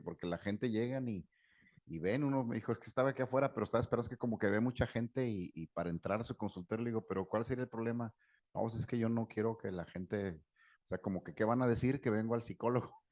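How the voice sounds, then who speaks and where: Gravelly, low-voiced man lecturing. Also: a little mellow. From Mexico. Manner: conversational, anecdotal, laughs often, many fillers. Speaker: psychotherapist giving a talk. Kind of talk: informal lecture to a group.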